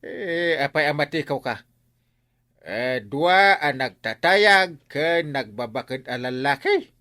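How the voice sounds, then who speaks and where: A man with an Ilocano accent playing a boastful, excitable village man in a radio comedy drama, exaggerated and comic, with vocal sound effects. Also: a little high, slow, dry recording, studio quality, full character voice. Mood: boastful, animated.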